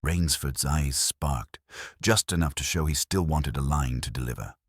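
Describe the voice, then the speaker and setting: A man narrating a detective story in the first person, with a measured, dry, literary delivery. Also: very deep voice, husky, dry recording. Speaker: audiobook narrator.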